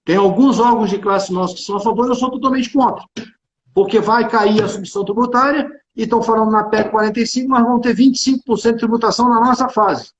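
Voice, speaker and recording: High-pitched, emphatic Carioca man. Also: steady tone, quick pace. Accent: Carioca Brazilian Portuguese. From Rio de Janeiro, Brazil. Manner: emphatic, repeats phrases, colloquial and opinionated. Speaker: tax consultant and executive speaking on a livestream. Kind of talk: informal live business discussion. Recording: echoey room, dull band-limited livestream audio.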